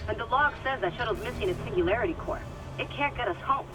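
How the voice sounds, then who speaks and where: A woman's voice: on the high side, expressive, fast, far from the mic, natural voice. Woman acting an anxious comms specialist over music. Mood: tense, skeptical. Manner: urgent, tense, direct. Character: anxious, sharp female tech specialist on comms. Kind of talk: video game cutscene acting.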